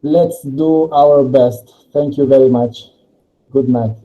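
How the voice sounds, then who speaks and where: A man, not an English speaker, hosting a casual discussion in halting, deliberate phrases. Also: mid-range voice, steady tone. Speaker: discussion host. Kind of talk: casual moderated panel discussion. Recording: dull, lo-fi sound.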